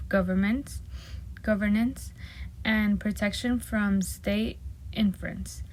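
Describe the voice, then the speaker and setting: A woman whose first language is Spanish gives a nervous, hesitant class presentation, with many pauses, ums and restarted words. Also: medium pitch, a little mellow, slight background noise, poor audio. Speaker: student presenting a project.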